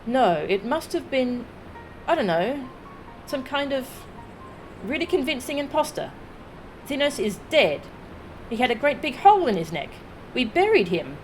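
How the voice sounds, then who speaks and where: A woman's voice: sits high, very animated, measured pace, pauses often, soft background music, voice pushed up, poor audio. A woman voicing a headstrong, emotional young woman resistance leader, reading video game dialogue aloud in an emphatic, exclamatory way. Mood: insistent.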